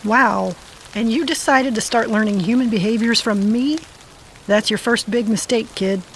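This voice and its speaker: An actress plays a stubborn, wry woman with a slightly high voice. Her delivery is colloquial and sardonic, in audio drama style. Light rain and ambience sit behind her. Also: moderate pace, slight background noise, close to an everyday voice, poor audio. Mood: probing, wry.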